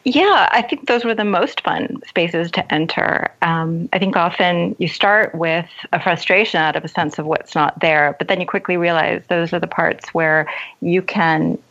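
A woman with a fairly low voice speaks as a guest author in an interview. She is articulate and thoughtful, reflecting on her craft. The recording is a little dull and lo-fi. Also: normal speed, fairly bright tone. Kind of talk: reflective interview conversation about writing.

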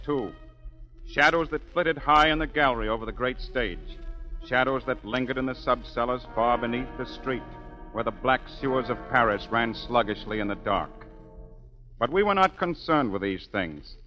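An actor playing a wistful opera singer who recounts dark past events in measured 1940s radio drama narration. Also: male voice, medium pitch, dull audio, lightly acted. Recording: old 1940s radio broadcast.

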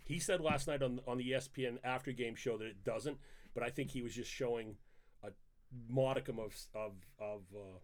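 A man's voice: medium pitch, a little fast. A middle-aged man with a Maine accent, a guest on a casual podcast, talking in a wordy, mock-formal and playful way. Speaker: guest, host's father.

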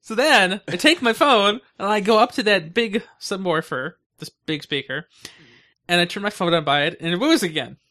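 A man's voice: sits high, moderate pace, sharp tone. A man co-hosting a podcast in casual chat, telling things in a rambling, conversational way. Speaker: podcast co-host.

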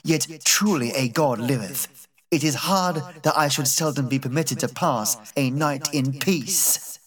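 Audiobook: male reader doing a bitter old servant woman, low and harsh, slow and brooding. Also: a bit bright, distant mic, close to an everyday voice. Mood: grumbling. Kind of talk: audiobook character reading.

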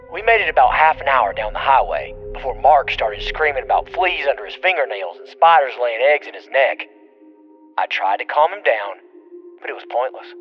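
Middle-aged male caller, played by an actor, muffled phone message, animated pitch, spooked rambling storyteller, profane, audio drama. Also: natural voice. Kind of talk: audio drama monologue as voicemail. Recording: lo-fi radio-like phone message, music behind. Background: music.

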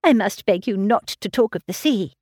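A woman reading aloud voices a clever, tactful young woman with a brisk, teasing manner. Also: medium pitch, animated, darkish tone, breathy edge, no room sound, clean studio sound. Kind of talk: audiobook character reading.

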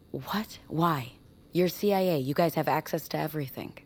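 A woman's voice: low-pitched, measured pace, slight background noise. A woman acting as a weary, guilt-ridden explorer with a wry streak in a naturalistic audio drama. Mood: incredulous.

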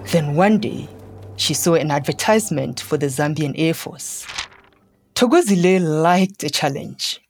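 A woman narrating a scripted children's story in a measured, deliberate way, pausing between phrases. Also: deep voice, expressive, darkish tone, a little hiss or hum. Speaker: podcast narrator. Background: music.

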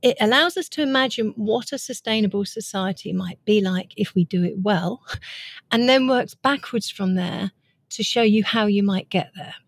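Woman, mid-pitched voice, earnest, articulate, explanatory interview talk, dry sound. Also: moderate pace, dry recording. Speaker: author and guest.

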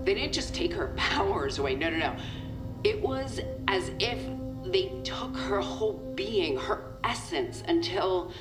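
Weary female senior agent, low voice, wry and remorseful confession, roomy tape sound, audio drama. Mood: remorseful, halting. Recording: roomy tape recording under music.

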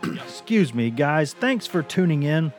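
A hoarse man hosting a sports podcast, talking casually and often restarting his sentences with repeated words. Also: fairly high pitch, big pitch swings, a little slow, a little mellow, soft background music. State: hoarse from a lingering cough.

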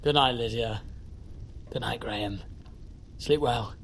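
A solemn older uncle, a little drunk, in an audio drama. He speaks slowly, over faint noise with soft clinks. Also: medium pitch, gravelly. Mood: gentle.

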